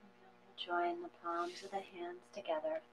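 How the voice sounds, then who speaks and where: A woman leading a guided meditation, reading slowly and deliberately in short phrases. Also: on the low side, lively intonation. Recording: dull recording with hum.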